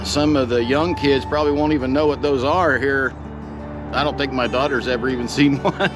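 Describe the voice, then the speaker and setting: A man's voice: mid-range voice, fairly even intonation, a little fast, over music. A middle-aged man sharing a personal story in a reflective, sincere, plain-spoken way, as if chatting to viewers. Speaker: pipe vlogger telling a personal story.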